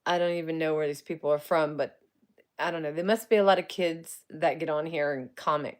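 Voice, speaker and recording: A woman's voice: sits low, fairly quick. Chatty woman in her forties livestreaming. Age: 40s. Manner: chatty and warm, laughs often, greets viewers by name; colloquial grammar. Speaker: psychic medium and paranormal live streamer. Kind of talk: casual livestream chat answering viewer comments.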